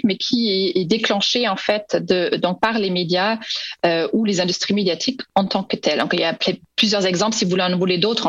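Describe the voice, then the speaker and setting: Halting non-native woman researcher, dull sound. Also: mid-range voice. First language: not French. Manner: hesitant, many fillers and restarts. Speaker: researcher guest. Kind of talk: academic interview answers.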